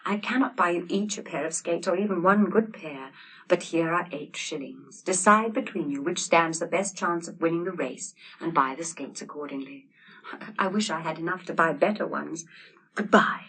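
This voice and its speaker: A woman voicing a kind, decisive, well-to-do young lady with a gracious, brisk manner, in an audiobook story. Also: on the low side, fairly bright tone, breathy edge, recorded from a distance, poor audio.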